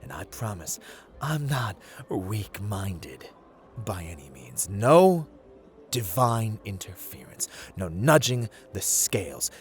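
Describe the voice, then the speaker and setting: A playful, haughty god of fortune, acted by a man in a theatrical ASMR roleplay. He speaks low and slowly, teasing the listener and swinging from hushed to booming. Music plays behind his voice. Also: very animated. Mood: grand, challenging. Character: playful, haughty male god of luck in a gambling den.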